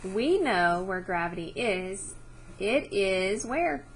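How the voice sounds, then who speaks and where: A woman's voice: mid-range voice, animated, slow, slight background noise. A woman teacher reading a science book aloud to children, explaining as she goes and checking understanding with short questions.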